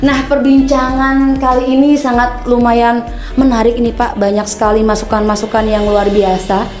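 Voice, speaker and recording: A young woman from Tegal hosts a podcast in a higher, friendly voice, quick to agree and to tease her guest. Her voice rings in an echoey room with faint music behind. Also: little pitch movement. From Tegal, Central Java. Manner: agreeable, frequent affirmations, playful. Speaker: podcast host. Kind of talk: casual podcast interview.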